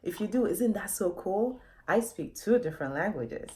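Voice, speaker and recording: A woman's voice: mid-range voice, expressive, normal speed, dark tone. A woman author reading a children's story aloud, expressively, often addressing young listeners directly with questions.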